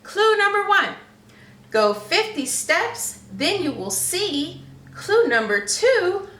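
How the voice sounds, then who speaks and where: A woman voicing a male park ranger in a storybook, announcing treasure hunt rules in a deliberate way. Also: very high voice, big pitch swings, bright, forceful tone, pitched above the performer's own voice, heavily acted. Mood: official, announcing. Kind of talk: storybook read-aloud. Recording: roomy, echoey, slightly distant microphone.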